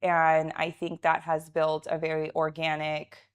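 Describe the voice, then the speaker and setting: Woman, thirties, native Russian speaker, fairly low voice, casual talkative interview guest. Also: completely flat delivery, measured pace, smooth. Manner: chatty, frequent "like" fillers, laughs easily. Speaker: guest, realtor and entrepreneur.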